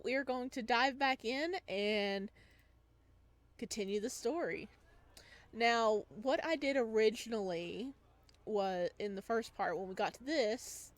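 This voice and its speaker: A woman gaming streamer with a giggly, playful manner, reading visual novel text aloud and commenting as she plays. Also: on the high side.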